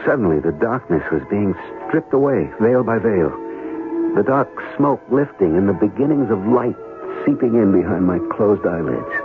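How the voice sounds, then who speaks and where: A low-voiced wartime pilot on a tinny old radio broadcast. He is wounded and dramatic, speaking deliberately with music behind him. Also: expressive. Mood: dreamy, hushed. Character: wounded wartime pilot, defiant, dreamy, narrating his story. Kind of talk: old-time radio drama acting and narration.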